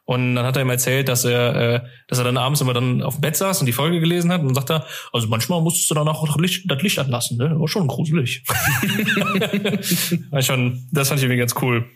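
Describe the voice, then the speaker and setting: A man's voice: mid-range voice, big pitch swings, a little fast, slight background noise. A man chatting casually as a podcast guest, telling anecdotes in a colloquial, rambling and often amused way. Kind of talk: casual interview chat, telling personal anecdotes.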